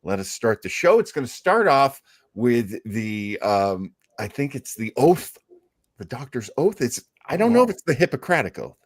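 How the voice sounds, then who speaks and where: A man hosting a live show in casual, rambling, halting chat. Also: medium pitch, big pitch swings, no room sound, studio quality. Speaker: show host.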